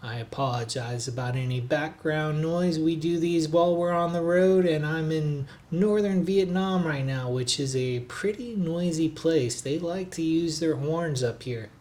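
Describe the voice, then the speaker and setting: A man narrating a hotel and city tour for his travel vlog in a relaxed, chatty way, with frequent "uh" and "um" fillers. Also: on the high side, a little slow, few pauses. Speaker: travel vlogger, hotel reviewer. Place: recorded on the road in a noisy city.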